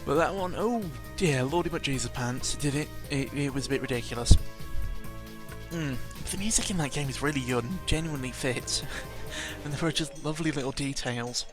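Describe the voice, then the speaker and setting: A man's voice: mid-range voice, a little fast. Rambling man chatting over game music. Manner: rambling, jokey, self-interrupting with exaggerated emphasis. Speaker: video game vlogger. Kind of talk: casual rambling audio log commentary on games. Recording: music playing behind the voice. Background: clear background game music.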